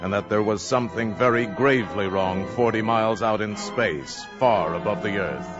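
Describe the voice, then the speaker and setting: A man narrating a 1950s radio drama in a measured, dramatic storytelling voice. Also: fairly low pitch. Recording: old radio broadcast with music.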